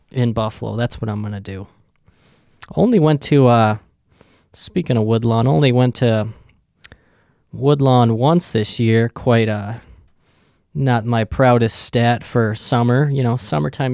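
A man's voice: mid-range voice, a little mellow, smooth, no room sound, clean studio sound. A young man hosting his own podcast, talking in a loose, joking and rambling way, with lots of swearing. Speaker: podcast host. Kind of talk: casual rambling monologue.

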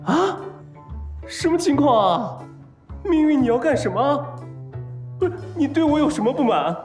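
A man playing a flustered, lovestruck young patient in an audio drama, hesitant and stammering, given to melodramatic excitement. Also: extremely high pitch, moderate pace. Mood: panicked, melodramatic. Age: young adult. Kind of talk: audio drama acting with inner monologue. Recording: roomy echo, music behind.

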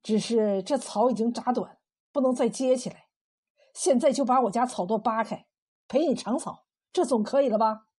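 A woman's voice: fairly high pitch, lively intonation, a little slow, a little mellow, breathy edge. A woman storyteller voicing an older, well-meaning village farmer, hesitant and placating. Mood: defensive, resigned.

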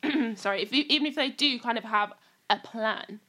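Woman podcast host, bright tone, relaxed interviewer who nudges with short follow-ups. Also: on the high side, brisk. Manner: warm prompting questions, frequent backchannels. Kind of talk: casual interview chat.

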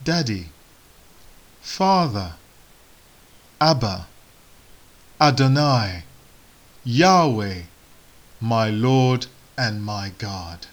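A man whose first language is Igbo, giving a sermon in slow, measured phrases with solemn pauses. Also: mid-range voice, big pitch swings. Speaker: preacher.

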